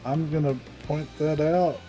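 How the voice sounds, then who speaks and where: A man's voice: on the high side, fairly even intonation, a little slow, mellow. A man streaming a video game with casual, blunt, sweary commentary. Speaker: gameplay streamer. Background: game music.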